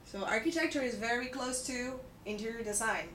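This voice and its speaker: A woman's voice: on the high side, brisk, fairly bright tone. A woman in her thirties teaching English to a class in a classroom, speaking patiently and checking in with her students often. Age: 30s. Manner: patient, checks in with "okay?" and "right?". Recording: echoey room, distant mic, background noise.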